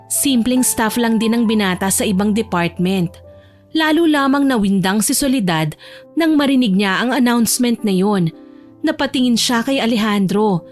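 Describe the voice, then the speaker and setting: A woman reading scripted romantic story narration as the narrator of an audio drama. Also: a little high. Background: soft music.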